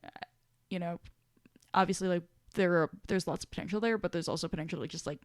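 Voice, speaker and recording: Low-pitched woman chatting on a podcast. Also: fast talker, dry recording, clean studio sound. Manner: rambling, self-deprecating, mildly sweary. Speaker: podcast co-host. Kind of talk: casual podcast discussion.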